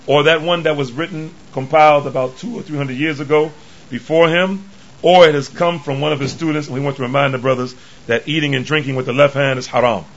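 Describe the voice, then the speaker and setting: Hoarse, tired man giving a lecture. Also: fairly high pitch, a bit bright, muffled recording. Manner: halting, with many pauses and restarts. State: tired from travel. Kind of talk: religious lecture.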